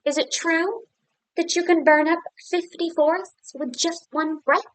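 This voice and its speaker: A woman's voice: very high voice, fairly even intonation, unhurried, fairly bright tone, dry recording, pitched above the performer's own voice, poor audio. A woman voicing a brave, clever young princess in a told story. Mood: eager.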